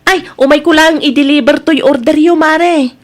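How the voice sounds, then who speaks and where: A woman's voice: high-pitched, moderate pace, close to an everyday voice. A woman with an Ilocano Filipino accent playing a friendly, patient village market vendor in a radio drama.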